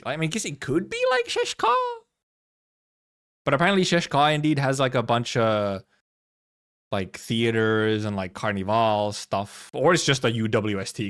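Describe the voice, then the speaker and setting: Animated male streamer reacting, rambling. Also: mid-range voice. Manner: rambling, repeats himself, playful, swears casually. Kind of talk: casual game trailer reaction commentary. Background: faint music.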